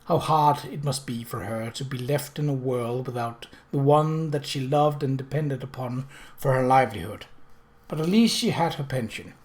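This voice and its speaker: Mid-pitched storybook narrator. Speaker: audiobook narrator. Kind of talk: expressive scripted story narration.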